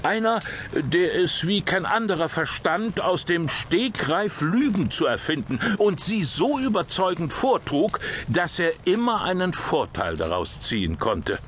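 A male actor playing a sober official who briefs a priest on a case in an audio drama, measured and slightly hesitant. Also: medium pitch, fairly bright tone, slight background noise. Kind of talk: German audio drama dialogue.

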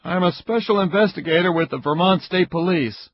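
A stern, curt police investigator, as a male storyteller plays him, speaking with a husky edge and firm emphasis. The recording sounds dull and lo-fi. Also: high-pitched, fairly quick, voice pushed up.

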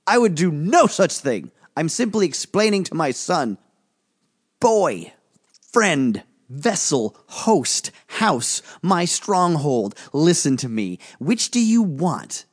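A man's voice: high-pitched, sing-song, slow pace, pitched above the performer's own voice, exaggerated voice. A man voicing a coaxing, menacing male volcano spirit in an audiobook, wheedling and persuasive. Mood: pleading. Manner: wheedling, persuasive, sing-song. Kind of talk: audiobook character voice.

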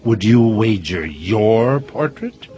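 An actor playing a wry older husband in an audio drama, with a dry, teasing, formal manner. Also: male voice, on the low side, animated, slow pace, a little mellow, lo-fi sound, faint music behind. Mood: goading. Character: wry older husband, needling his brother. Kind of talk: audio theater acting.

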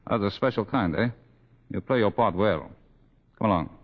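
An actor playing an impatient, suspicious army officer in an old radio drama, barking commands. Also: male voice, lowish voice, normal speed, close to an everyday voice. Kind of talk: 1940s radio drama acting. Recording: noisy, muffled old radio recording.

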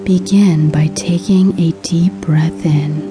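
A woman narrating a scripted guided sleep hypnosis in a soothing, hushed, breathy voice with measured pauses. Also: deep voice, fairly even intonation, over music.

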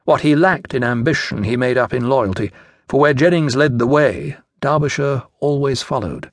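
A man's voice: mid-range voice, moderate pace, no echo, studio quality. A man with a British accent reading scripted narration from a children's story. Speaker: audiobook narrator.

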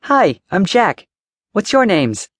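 A bright, sing-song little boy, put on by a man narrating a story, chirpy and polite with a strange, eerie innocence. His high voice swings widely up and down, and it is recorded close and dry. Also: very animated, slow pace, no echo, exaggerated voice. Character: cheerful eerie little boy with an imaginary friend.

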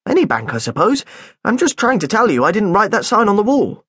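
A man voicing a flustered schoolboy who defends himself earnestly and rambles on, with a husky voice. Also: high voice, very animated, fairly quick, a bit bright, no room sound, studio quality, voice pushed up, full character voice. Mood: defensive. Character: flustered schoolboy protesting his innocence.

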